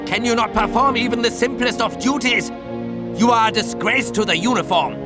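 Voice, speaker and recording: A high-voiced German prison camp commandant for a game cutscene. He is overbearing and clipped, barking orders and rebukes with a German accent. Loud music plays under him. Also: moderate pace. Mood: angry. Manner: imperious, clipped, scolding. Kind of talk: video game cutscene acting.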